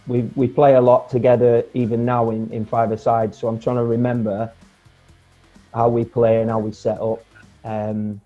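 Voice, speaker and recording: Low mellow man on a video call. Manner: relaxed, rambling, many fillers and restarts. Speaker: guest, former footballer. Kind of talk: casual video-call chat. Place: home room, indoors. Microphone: earphone mic on a video call. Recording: dull lo-fi video call audio with faint music.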